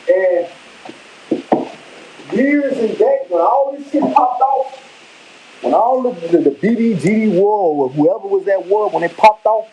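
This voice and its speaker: A man's voice: high-pitched, warm tone, a little hiss or hum, rough recording. Rambling, emphatic Chicago man. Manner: rambling, emphatic, slangy, repeats himself. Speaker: vlogger. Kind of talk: casual live commentary. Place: home kitchen.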